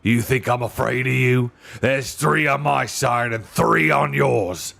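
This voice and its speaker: A man's voice: mid-range voice, measured pace, vocal fry, pushed and bright, soft background music, voice pushed up, heavily acted. A man voicing a bitter, quarrelsome male dwarf in a storybook, with a sneering, combative delivery. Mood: sneering challenge.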